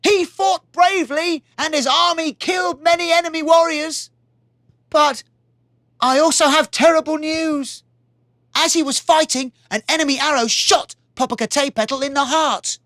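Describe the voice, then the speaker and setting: A woman voicing a dutiful male royal messenger who reports news in a high, formal voice. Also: moderate pace, fairly bright tone, no echo, clean studio sound. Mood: grave.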